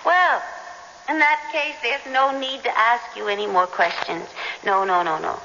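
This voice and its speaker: An actress playing a brisk, suspicious female police detective in a radio drama, probing and self-assured. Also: a little high, close to an everyday voice. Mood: probing, falsely pleasant. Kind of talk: 1970s radio drama acting. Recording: dull, noisy old radio broadcast, reverberant.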